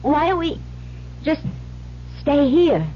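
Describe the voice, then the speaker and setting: An actress playing a naive, anxious newlywed bride in a radio thriller, with an emotive delivery. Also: female voice, sits high, very animated, takes it slowly, full character voice. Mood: pleasant, guarded. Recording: dull, noisy old radio drama recording.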